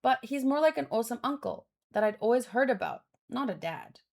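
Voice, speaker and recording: Higher-pitched woman reading a story aloud casually. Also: expressive, a little fast. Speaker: manga read-along host. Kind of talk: reading dialogue aloud with casual reaction commentary.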